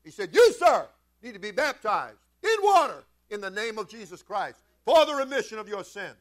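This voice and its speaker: A man preaching a sermon as a pastor, folksy and informal, moving between conversational storytelling and emphatic, animated delivery. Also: high voice, moderate pace. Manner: animated, swinging from quiet conversational asides to loud emphatic shouts. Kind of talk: Pentecostal-style sermon with storytelling.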